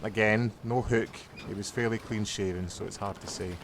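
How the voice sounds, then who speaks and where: Low-voiced, polite pirate skipper played by a man. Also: steady tone, normal speed, slight background noise, lightly acted. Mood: earnest. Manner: polite, literal, matter-of-fact. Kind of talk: amateur comedy audio drama.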